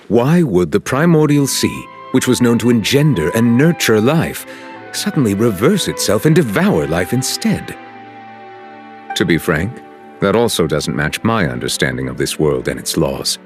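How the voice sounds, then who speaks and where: An actor playing a solemn, courteous chief justice in a video game, speaking in formal, measured, stately phrases. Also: male voice, deep voice. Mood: grave, measured. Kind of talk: scripted game cutscene dialogue.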